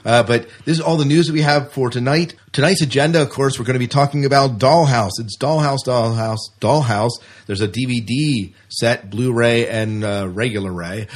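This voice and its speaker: A man's voice: medium pitch, normal speed, few pauses. A man hosting a podcast in casual banter, chatty, jokey and enthusiastic, often repeating himself for emphasis. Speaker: podcast host.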